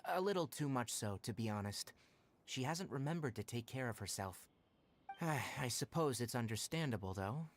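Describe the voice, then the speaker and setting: A man voicing a composed, frank, protective young forest ranger in an anime video game, speaking in a measured, articulate way. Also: medium pitch, animated, close to an everyday voice. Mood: frank, calm. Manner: measured, articulate, matter-of-fact.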